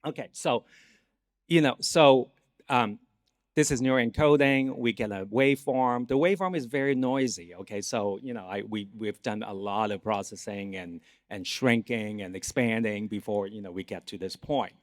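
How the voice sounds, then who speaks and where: A man's voice: on the low side, moderate pace. A man with a Cantonese accent, a native Cantonese speaker, giving an academic lecture and explaining things as he checks in with his audience. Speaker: guest lecturer, neuroscience professor. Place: conference lecture hall.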